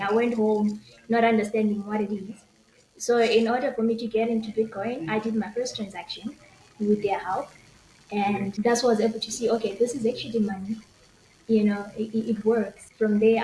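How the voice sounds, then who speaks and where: A woman's voice: on the high side, darkish tone. A young woman with a Zimbabwean accent, talking casually and conversationally as an interview guest. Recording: echoey room with faint noise.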